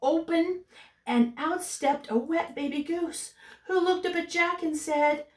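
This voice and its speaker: A woman reading a storybook aloud to young children as a teacher, with animated delivery and chatty asides. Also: very high-pitched, unhurried. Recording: roomy echo, distant microphone.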